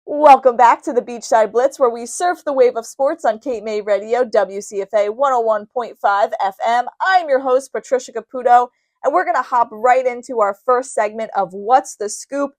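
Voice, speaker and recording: A woman's voice: on the high side, normal speed, no echo. A woman hosting a sports radio show, giving commentary and recaps in an emphatic way, repeating phrases to drive points home. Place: radio studio.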